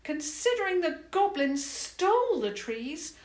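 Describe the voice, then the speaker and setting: A woman's voice: very high voice, sing-song, unhurried, voice pushed up, full character voice. A woman voicing a polite, brave young princess who speaks earnestly and formally. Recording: echoey room.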